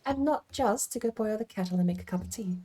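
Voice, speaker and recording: A woman's voice: medium pitch, fast talker, dark tone. A woman streaming a game from home, rambling and thinking aloud as she plays. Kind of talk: casual gameplay commentary.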